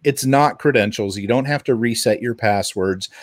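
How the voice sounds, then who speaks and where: Male podcast commentator, medium pitch, explains things conversationally, very little room echo. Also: normal speed, clean studio sound. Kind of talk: casual conversational tech commentary.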